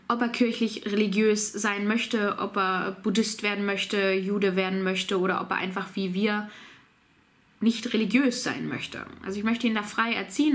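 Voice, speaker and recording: Chatty mom with a cold, talking to camera. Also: female voice, medium pitch. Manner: relaxed, chatty, laughs easily, reads out questions. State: has a cold. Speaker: mom vlogger answering viewer questions. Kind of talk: casual chat to camera. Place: small home office indoors.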